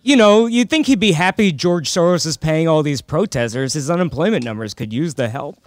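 A man's voice: on the high side, animated, fairly quick. A young man working as a comedian on a radio show, trading casual jokes in a dry, sardonic way. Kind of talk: casual joking banter.

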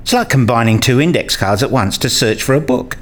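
Man playing an eager debater, opinionated, explanatory, mid-pitched, radio play dialogue. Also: a little fast, a bit bright, close to an everyday voice. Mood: earnest, argumentative. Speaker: radio play character in discussion.

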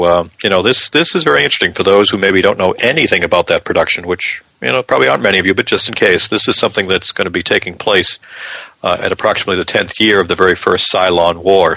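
Bright, forceful low-voiced male podcast co-host. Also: fast, gravelly, dry recording, rough recording. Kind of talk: casual news chat.

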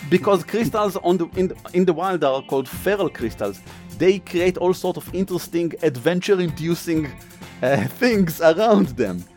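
Israeli-accented man, animated game master. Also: a little high, normal speed, soft background music. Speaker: game master, game designer and writer. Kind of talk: casual unscripted explaining and game narration.

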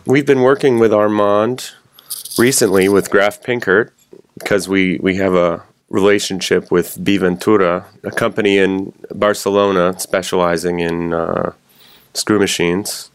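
A man hosting a podcast, reading the intro and a sponsor ad clearly and steadily, then asking his guest questions. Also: sits low, a little slow. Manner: clear, steady read; spells out web addresses. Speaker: podcast host. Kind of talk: podcast intro, ad read and interview.

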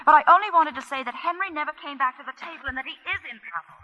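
Anxious, high bright-voiced woman. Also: very fast. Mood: anxious, urgent. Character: anxious, earnest married woman confiding warnings to a friend. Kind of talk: 1940s radio acting. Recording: muffled old radio broadcast, telephone-like tone.

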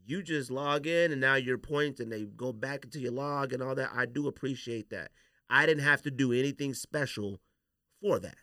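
A man hosting a podcast, talking casually with slangy, opinionated, animated delivery. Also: medium pitch, normal speed, no echo. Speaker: podcast host. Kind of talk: casual sneaker podcast debate.

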